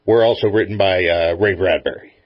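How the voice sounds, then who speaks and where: A man's voice: low-pitched, fairly quick, no echo, muffled recording. A man chatting casually as the interviewer on a talk show. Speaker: interviewer, host.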